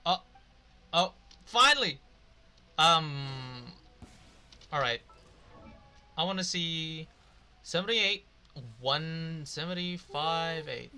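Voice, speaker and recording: A man's voice: on the high side, lively intonation, takes it slowly, long pauses, bright, forceful tone. A man doing casual, rambling gameplay commentary, swearing now and then. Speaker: video game streamer.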